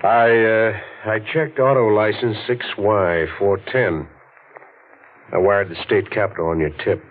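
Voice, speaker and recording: An actor playing a grave, methodical district attorney with an official, deliberate manner in a 1940s radio drama. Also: male voice, on the low side, fairly even intonation, lightly acted. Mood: businesslike. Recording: tinny old radio broadcast.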